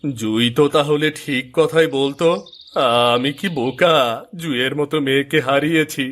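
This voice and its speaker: An actor voicing a bitter, self-pitying village farmer in a moral-story cartoon, dramatic and complaining. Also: male voice, on the high side, moderate pace, fairly bright tone, close to an everyday voice. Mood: regretful, determined.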